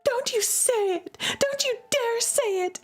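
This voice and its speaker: A woman voicing a fierce teenage girl who gives sharp, commanding orders in a game read-through. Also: expressive, normal speed, soft background music. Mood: shrill, urgent. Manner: shrill, commanding. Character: fierce teenage girl, protective older sister.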